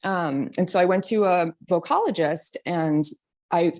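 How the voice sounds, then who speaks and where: Woman, 30s, from New York, low speaking voice; chatty guest, um-heavy, laughs lightly. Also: measured pace. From New York City. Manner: chatty, frequent ums and soft laughs. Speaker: guest, singer and voice teacher. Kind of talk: casual interview talk.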